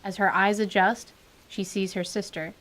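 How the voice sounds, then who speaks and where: A woman narrating a tabletop adventure as game master, in an even, wry storytelling manner. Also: mid-range voice, normal speed.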